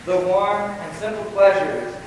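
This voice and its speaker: A man's voice: high-pitched, unhurried. A young male actor playing an earnest, cheeky teenage schoolboy with flowery phrasing in a radio comedy. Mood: dreamy, florid. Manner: florid, theatrical phrasing. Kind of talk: 1940s-style radio comedy acting. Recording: echoey hall from far off, faint noise.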